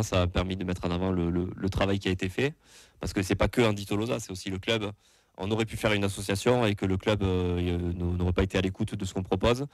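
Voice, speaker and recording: Hesitant low-voiced southwest French man. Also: dry recording, clean studio sound. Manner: hesitant, many fillers and restarts. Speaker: supporters' association representative. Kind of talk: casual radio talk.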